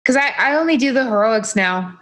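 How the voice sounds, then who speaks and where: A woman's voice: on the high side, animated, fairly quick, a bit bright. A woman co-hosting a podcast, chatting casually about a game in a playful, teasing way. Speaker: podcast co-host. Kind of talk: casual gaming chat.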